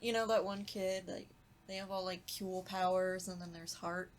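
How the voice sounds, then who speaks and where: A young adult woman, a voice actress guest, chatting casually in an animated, giggly, self-deprecating way. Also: fairly low pitch, moderate pace, a little hiss or hum. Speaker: voice actress guest on a podcast talkback. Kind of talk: casual chat.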